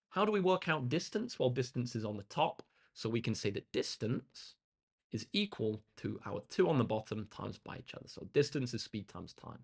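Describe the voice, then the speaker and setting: A young man with a slightly croaky voice, tutoring maths on a live stream in an upbeat, chatty way, reading out comments from chat. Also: medium pitch. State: slightly hoarse. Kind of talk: live-streamed exam walkthrough.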